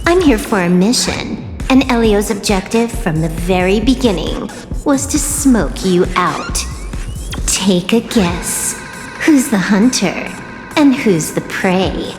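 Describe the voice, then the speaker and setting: A cocky young huntress who taunts her enemy with total self-assurance. She takes her time over each line, and a long hall reverb and clear music play behind her. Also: mid-range voice, lively intonation, slow pace. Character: cocky young woman hunter, taunting and scheming. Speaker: game heroine. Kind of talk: game cutscene voice acting.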